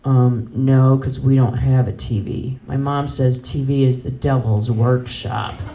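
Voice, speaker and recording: A woman's voice: extremely low pitch, fairly even intonation, measured pace, dark tone, voice pushed down. A woman voicing a self-righteous teenage boy working a shop counter, stiff and judgmental, in a comic story. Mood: prim, judgmental. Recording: roomy, distant mic, faint noise.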